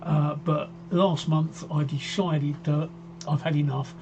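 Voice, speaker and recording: A man's voice: a little high, steady tone, slow pace, mellow, highs cut off, slight background noise. A man in his sixties hosting a casual live stream, chatting in a rambling way and often repeating himself while he reads out viewer comments. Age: 60s. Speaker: livestream host. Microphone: desk microphone.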